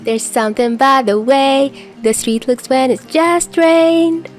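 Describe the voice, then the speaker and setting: A woman performs a carefree young man in an audio story, bubbling over with excitement. The pitch is pushed high and the delivery is loud and unrestrained. Faint music runs underneath. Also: slow. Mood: exuberant. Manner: loud, half-yelled delivery. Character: exuberant, carefree young man, singing badly.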